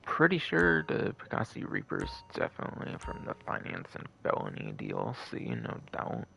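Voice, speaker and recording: A man's voice: very deep voice, wildly swooping pitch, normal speed, gravelly, bright, forceful tone. A man hosting a game livestream with casual commentary, rambling and often repeating and second-guessing himself.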